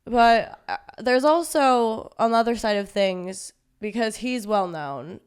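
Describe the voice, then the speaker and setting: Young woman podcast guest, clear and smooth, casual and candid. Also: on the high side, measured pace. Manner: casual, many fillers, laughs things off. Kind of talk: candid conversational interview.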